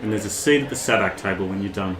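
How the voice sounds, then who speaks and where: A man playing a brisk, teasing underworld contact of a smuggler in an audio drama, chummy and familiar. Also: lowish voice, fairly bright tone, roomy sound, natural voice, low-quality recording. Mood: teasing, welcoming.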